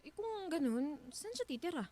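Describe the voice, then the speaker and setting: Soft-hearted, hesitant Filipino wife. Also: female voice, very high-pitched, big pitch swings, normal speed. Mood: saddened. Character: soft-hearted wife, attached to the household's lodger. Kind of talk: Filipino radio drama acting.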